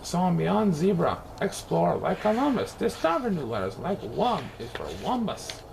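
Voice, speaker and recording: A man's voice: high-pitched, sing-song, moderate pace, gravelly, noisy background. A man reading a children's picture book aloud in rhyme, with theatrical, playful emphasis. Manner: theatrical, playful rhyming delivery with exaggerated emphasis. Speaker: storybook reader.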